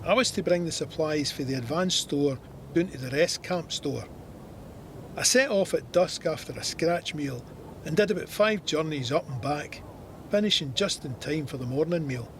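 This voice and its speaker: A man's voice: fairly high pitch, normal speed, slight background noise, close to an everyday voice. An actor with a Scottish accent playing a wry, plain-spoken soldier narrating his war memoir with dry understatement. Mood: matter-of-fact recollection.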